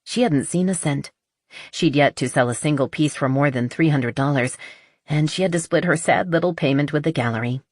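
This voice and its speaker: A woman's voice: low voice, brisk, no room sound, clean studio sound. A woman reading a romance novel as scripted audiobook narration.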